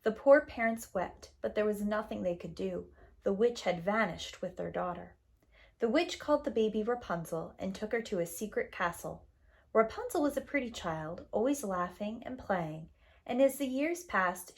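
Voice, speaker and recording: Woman reading a children's story expressively. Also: medium pitch. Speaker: preschool teacher, storyteller.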